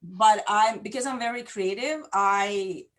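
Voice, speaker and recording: A woman's voice: medium pitch, measured pace. Woman, chatty guest. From Hungary. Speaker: guest, digital marketer. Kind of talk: casual interview on a livestream.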